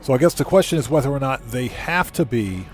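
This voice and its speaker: A man with a mid-pitched voice hosts a casual game stream. He talks to viewers and muses aloud in a halting, easygoing way. Game music plays faintly behind him. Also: animated. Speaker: livestream host and gamer. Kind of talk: casual live game commentary, chatting with viewers.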